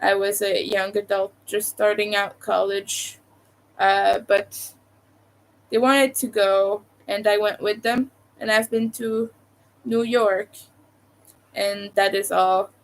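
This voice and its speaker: A young woman with a Montreal accent, hosting a live stream in a loose, chatty way. She speaks slowly and drifts between topics, with frequent hesitant fillers. Her pitch sits in an ordinary middle range. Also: flat delivery, gravelly, rough recording. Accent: Montreal Quebec. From Quebec, Canada. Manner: rambling, hesitant, many fillers. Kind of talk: casual live stream chat with viewers.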